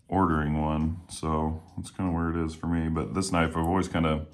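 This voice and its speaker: A man casually talking while taking apart and cleaning a knife at home, a little hoarse today, relaxed and rambling with plenty of fillers. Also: rumbling low. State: slightly hoarse. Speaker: knife reviewer. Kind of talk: casual talk while working. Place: home room with windows.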